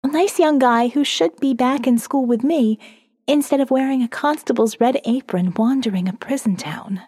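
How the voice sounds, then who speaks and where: A woman's voice: sits high, moderate pace, dark tone, slightly rough, no echo, studio quality, long reverb tail. A woman reading as a sardonic young college student narrating her survivor's diary in a wry, profane, conversational way for an audio novel. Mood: wry, confiding. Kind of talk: first-person diary narration, dramatic reading.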